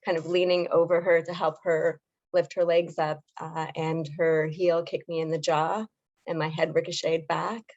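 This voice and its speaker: A woman journalist with a hoarse voice, giving clear and articulate interview answers. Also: low-pitched, level tone, normal speed, a little mellow, lo-fi sound. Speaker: journalist guest.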